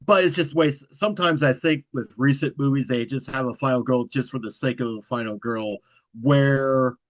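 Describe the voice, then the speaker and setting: A man as a guest in a casual interview. He speaks in a self-described monotone, even manner. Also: mid-range voice, normal speed, dry recording. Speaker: guest, horror content creator.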